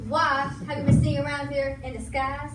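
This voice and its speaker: A melodramatic frontier fortune-teller played by a woman, superstitious and ominous, in a stage Western. The high voice sounds distant and roomy, with noise behind it. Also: level tone, brisk, close to an everyday voice. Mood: dramatic. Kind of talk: amateur Western stage play acting. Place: small indoor stage, recorded from audience. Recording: echoey stage, distant mic, noisy.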